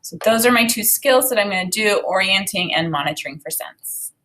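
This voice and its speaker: A woman in her thirties with a bright, forward voice at an average pitch for a woman. She sounds like an enthusiastic teacher reading to children, expressive and conversational as she thinks aloud about the text. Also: brisk. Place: small home room, indoors.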